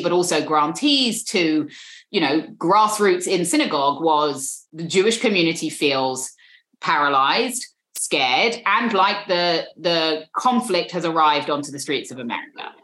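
A woman's voice: fairly low pitch, animated, moderate pace, fairly bright tone, echoey room. A British woman in an interview, speaking earnestly and often repeating words as she thinks. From Britain.

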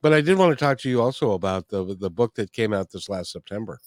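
A man hosting an interview, asking an author about her work in a halting way with repeated words. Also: fairly low pitch, animated, darkish tone, no echo, clean studio sound. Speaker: interviewer host. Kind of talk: interview conversation.

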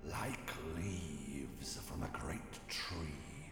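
Solemn male cult preacher, audio drama sermon, extremely slow, cavernous long reverb, incantatory. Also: rumbling low, expressive, full character voice. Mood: solemn, reverent. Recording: echoey cavern reverb, music behind.

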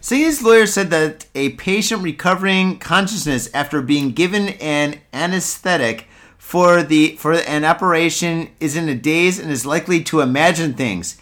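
A man's voice: a little high, normal speed, a bit bright. A man co-hosting a casual podcast, reading news stories aloud and joking crudely with lots of laughter. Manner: laughs a lot, reads news aloud, jokey mock voices. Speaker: podcast co-host.

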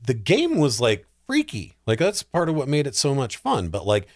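A man talking casually and emphatically as a podcast panelist, giving animated opinions on movies and games. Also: mid-range voice, moderate pace, no room sound, clean studio sound. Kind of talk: casual film and game discussion.

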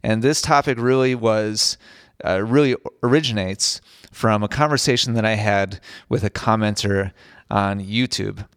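A man's voice: on the low side, unhurried, fairly bright tone, no room sound, clean studio sound. A man hosting a tennis podcast, talking earnestly and repeating himself as he restarts phrases, his voice a bit strained after recovering from an illness. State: recovering from voice loss, slightly strained. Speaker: podcast host and tennis coach. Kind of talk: solo podcast talk. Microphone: studio podcast microphone.

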